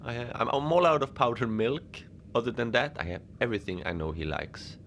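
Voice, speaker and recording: A man playing a lonely, gentle Antarctic facility manager, rambling hesitantly and apologetically in a cozy fiction podcast monologue. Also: mid-range voice, sing-song, fairly quick, slight background noise. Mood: wistful, friendly. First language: Norwegian. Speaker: podcast narrator.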